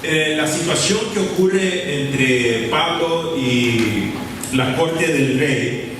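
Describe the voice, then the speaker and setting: A man preaching a sermon in a church, speaking deliberately, repeating key phrases and pausing with short fillers. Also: on the high side, expressive, background noise. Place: church sanctuary. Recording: echoey church hall from far off, with room ambience.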